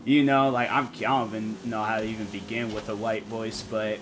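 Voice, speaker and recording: A man streaming a game and chatting casually, rambling and thinking out loud with lots of fillers. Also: fairly low pitch, level tone, a little fast, noisy background. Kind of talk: casual live-stream chat.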